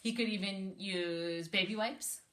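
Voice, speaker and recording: A woman with a bright, clear-edged voice reads a picture book aloud for young listeners. Her delivery is animated and encouraging, and she breaks off to prompt with simple questions. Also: medium pitch, measured pace. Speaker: read-aloud host, speech therapist.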